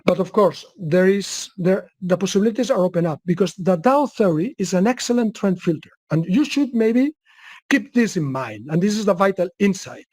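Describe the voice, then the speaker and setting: A man's voice: high voice, animated, normal speed, a little mellow, no room sound. A man with a Spanish accent presenting in a webinar and answering questions, often restarting his sentences. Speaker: guest presenter.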